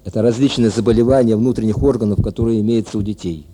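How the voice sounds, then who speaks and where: A man giving halting interview answers as an official, pausing between phrases. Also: on the low side, fairly even intonation, warm tone, breathy edge.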